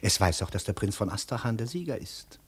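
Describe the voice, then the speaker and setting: An actor playing a wily, deferential chancellor with formal, calculating speech in a 1950s German radio drama. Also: male voice, fairly low pitch, big pitch swings, brisk, husky, exaggerated voice. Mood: sly, deferential. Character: wily, courtly chancellor to a princess.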